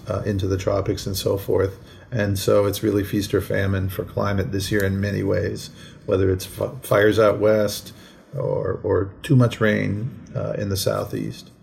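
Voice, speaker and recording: An American man talking conversationally as a podcast co-host, in a measured, explanatory way with frequent asides. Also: on the low side, creaky, a little mellow. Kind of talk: conversational current-events discussion. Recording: faint background noise.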